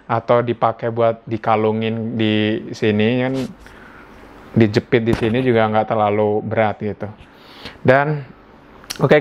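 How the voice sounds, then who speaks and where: A man's voice: medium pitch, darkish tone, slight background noise. An Indonesian man giving a casual hands-on gadget review indoors at home, talking informally as if thinking aloud. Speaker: product reviewer. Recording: dull lo-fi sound.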